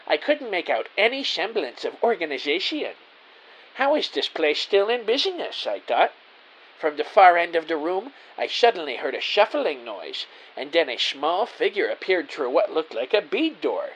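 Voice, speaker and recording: A man's voice: on the high side, very animated, normal speed, a bit bright, slight background noise, rough recording. A man playing an earnest, naive detective who narrates his own story in a scripted audio drama, with a theatrical delivery. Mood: earnest narrating.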